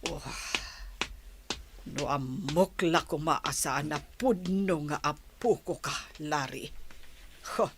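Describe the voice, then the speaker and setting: A woman's voice: low-pitched, slow, long pauses, a little hiss or hum. A woman playing a reproachful old grandmother in an Ilocano radio comedy-drama.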